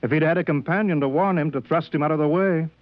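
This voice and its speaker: A man's voice: a little high, fast talker, no room sound, lightly acted. An actor playing a falsely friendly, grandiose male blackmailer in 1950s radio drama style, insinuating and commanding. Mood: smugly commanding. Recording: dull, noisy vintage radio recording.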